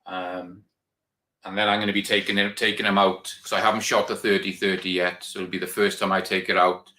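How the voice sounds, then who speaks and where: A man's voice: sits low, flat delivery, a little fast, pushed and bright, echoey room. A Welsh man hosting a casual live stream, chatting and rambling to viewers he calls guys. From Wales. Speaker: channel host.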